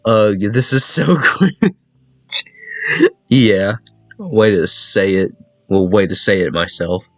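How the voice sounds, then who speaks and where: A man's voice: lowish voice, takes it slowly, fairly bright tone, dry recording. A man giving casual let's play commentary, reacting aloud to a visual novel, repeating himself and tossing in teasing asides. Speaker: gaming video host. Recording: music behind a dry voice. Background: game music.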